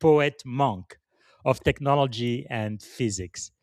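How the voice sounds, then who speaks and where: A man's voice: medium pitch, slow, darkish tone, no echo, clean studio sound. A man from Congo in Central Africa hosting a conversational interview, feeling his way through sentences and affirming his guest. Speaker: podcast host interviewing a guest.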